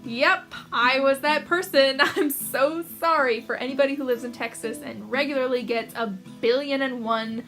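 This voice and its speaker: A woman's voice: high voice, moderate pace, few pauses, a bit bright, soft background music. A young American woman telling personal stories to camera in a chatty, casual way, laughing often and filling with "like". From United States. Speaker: author vlogger.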